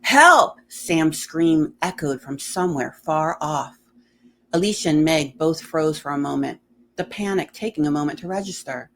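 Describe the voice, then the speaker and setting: A woman's voice: low-pitched, normal speed, darkish tone, a little hiss or hum. A woman reading a horror story aloud in a dramatic narrating style.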